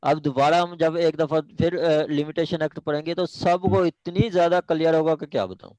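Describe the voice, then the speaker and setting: A man's voice: fairly high pitch, fairly even intonation, a little mellow, no echo, low-quality recording. A man with a Pakistani accent lecturing law students, speaking emphatically and repeating himself to drive points home. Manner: emphatic, repetitive, often checking understanding. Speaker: law lecturer preparing students for judicial exams. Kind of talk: online class discussion and exhortation.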